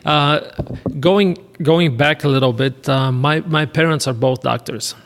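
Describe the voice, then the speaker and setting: A man with a Bulgarian accent chatting casually as an interview guest, speaking in halting, hesitant phrases. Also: a little high. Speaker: podcast guest, real estate investor. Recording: reverberant, hall-like room.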